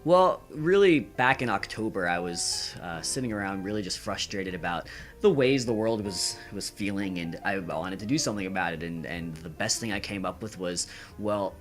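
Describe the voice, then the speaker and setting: A young man answering casually as a podcast guest, earnest and halting, repeating words. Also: deep voice, animated, soft background music. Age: young adult.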